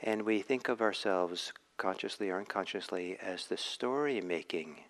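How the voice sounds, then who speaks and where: A man leading a guided meditation as a teacher, speaking in a measured, reflective way with frequent pauses. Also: low voice, a bit bright.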